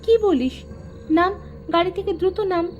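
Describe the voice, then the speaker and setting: A woman playing a bossy, teasing young woman in an animated story, with music in the background. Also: very high voice, normal speed, lo-fi sound. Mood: sceptical. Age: young adult. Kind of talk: Bengali animated story dialogue.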